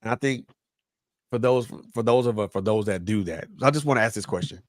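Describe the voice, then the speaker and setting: A man's voice: on the low side, a little fast, dry recording, clean studio sound. A man speaking as a guest in a panel discussion, emphatic and preacherly, repeating phrases to drive his points home.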